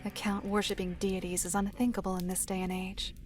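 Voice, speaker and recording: A solemn storyteller, played by a woman, telling dark fantasy lore in a middle-pitched voice. The delivery is formal and measured, like a scripted visual novel narration, with faint music underneath. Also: little pitch movement, close to an everyday voice.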